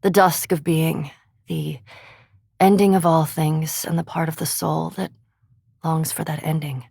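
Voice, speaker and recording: An actress voicing a haughty, scholarly elf vampire in a fantasy video game, with formal, precise diction and dry, disdainful sarcasm. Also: female voice, low-pitched, level tone, measured pace, creaky, a little mellow, husky, no room sound, heavily acted. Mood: grave, measured.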